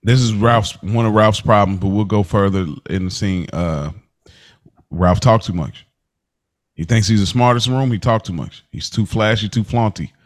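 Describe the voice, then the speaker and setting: A man's voice: lowish voice, moderate pace. A man hosting a podcast, chatting casually about a TV episode in a thoughtful, roundabout way. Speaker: podcast host. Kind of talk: casual TV recap chat.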